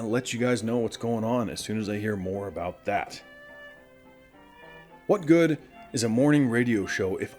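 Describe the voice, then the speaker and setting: A man plays a folksy morning radio host broadcasting from a small town in the wasteland. He chats straight to his listeners with a lively, swooping delivery, over faint music. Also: medium pitch, natural voice. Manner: conversational, addresses listeners directly. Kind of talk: scripted radio show monologue, folksy.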